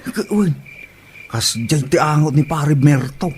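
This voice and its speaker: A man's voice: on the high side, lively intonation, slow pace, darkish tone, slightly rough, full character voice. A man with an Ilocano accent playing a complaining village husband in a comedy drama.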